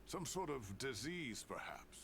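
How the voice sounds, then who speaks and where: A man's voice: medium pitch, very animated, a little slow, a crowd in the background. An actor playing an earnest male researcher in a fantasy game, articulate and analytical. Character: earnest male researcher of mystical ley lines. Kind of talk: scripted game dialogue.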